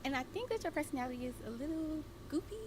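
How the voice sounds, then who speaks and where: A woman's voice: sits high, expressive, racing, background noise. A woman of twenty-eight, a dating show contestant, talking in a sassy, sing-song way. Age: 20s.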